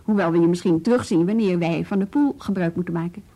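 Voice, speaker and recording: An actress playing a composed, tactful but firm female police bureau chief in a Dutch radio drama, speaking with measured authority. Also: on the low side, dark tone, natural voice. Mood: firm, calm.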